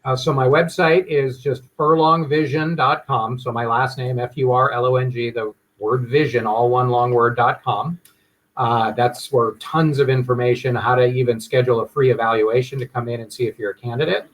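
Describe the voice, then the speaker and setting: A middle-aged man from Hawaii talks as an eye surgeon guest on afternoon radio. His chat is friendly and easygoing and slides into promotional talk. His pitch sits in a middle range. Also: steady tone, moderate pace, lo-fi sound.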